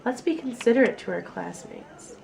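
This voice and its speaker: A woman voicing an easygoing, wry male schoolteacher from a storybook in a measured, instructing way. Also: on the high side, gravelly, background noise. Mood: calmly firm.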